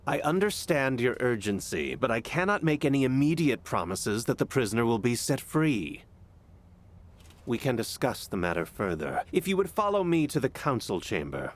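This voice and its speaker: A man's voice: mid-range voice, sing-song, normal speed, close to an everyday voice. A man playing a stern, cautious royal official in a video game, speaking in a formal, guarded, courtly way. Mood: guarded, polite. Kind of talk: scripted video game acting.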